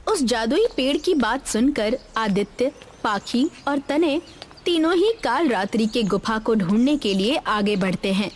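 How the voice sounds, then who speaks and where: A woman narrates a scripted children's story in a fairly high voice. Music plays clearly behind her words. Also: animated, unhurried. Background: background music.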